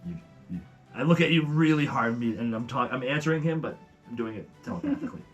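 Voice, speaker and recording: A man chatting casually as a player in a tabletop roleplaying game, describing his actions in a halting way. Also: mid-range voice, animated, soft background music.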